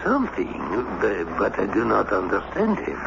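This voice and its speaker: An actor playing a humble, superstitious French servant with a French accent and halting speech in an old radio drama. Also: male voice, on the low side, wildly swooping pitch, gravelly, heavily acted. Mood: earnest, hesitant. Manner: halting, hesitant. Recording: muffled, noisy old radio broadcast.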